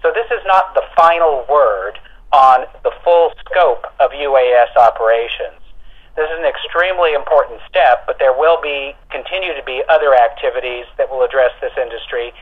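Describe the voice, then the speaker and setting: A man speaking as an official, explaining policy to reporters in a deliberate, formal way, point by point. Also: medium pitch. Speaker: government official at a press conference call. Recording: narrow, tinny telephone conference line.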